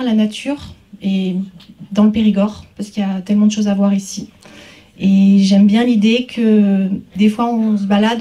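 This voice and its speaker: Mellow, even-toned woman, distant. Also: medium pitch. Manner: hesitant, unscripted, searching for words. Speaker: photographer, exhibiting artist. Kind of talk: informal speech at an exhibition opening. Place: exhibition opening, speaking to guests. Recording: distant microphone.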